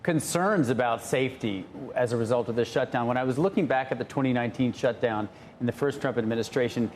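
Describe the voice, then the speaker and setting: Mid-pitched male news anchor. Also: fast, a little mellow, slight background noise. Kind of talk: live news interview questioning.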